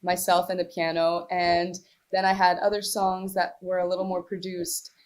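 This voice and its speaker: A woman's voice: on the low side, flat delivery, moderate pace. A young woman from Morocco, talking loosely as an interview guest. She fills her answers with "like" and restarts, in an easy, chatty way. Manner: chatty, many "like" fillers and restarts. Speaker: singer-songwriter, guest.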